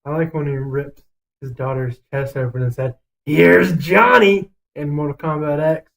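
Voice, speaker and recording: Man, higher-pitched voice, casual podcast co-host, blunt, cursing, emphatic opinions. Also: normal speed, creaky, fairly bright tone. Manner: blunt, foul-mouthed. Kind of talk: casual podcast chat, ranking list.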